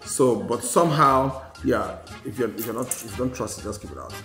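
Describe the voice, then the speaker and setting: A man's voice: mid-range voice, animated, fairly quick, fairly bright tone. Nigerian man reflecting in a roomy space. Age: 40s. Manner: reflective, repeats phrases for emphasis. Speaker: podcast co-host. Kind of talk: candid personal storytelling in a podcast conversation. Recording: roomy sound with faint music. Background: faint music.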